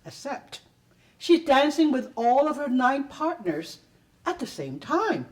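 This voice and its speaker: A woman giving a deliberate, theatrical poetry reading with pauses, at a live event. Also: sits high, big pitch swings, a little mellow, distant mic, a little hiss or hum. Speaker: performer reading own poetry. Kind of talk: dramatic poetry reading to an audience.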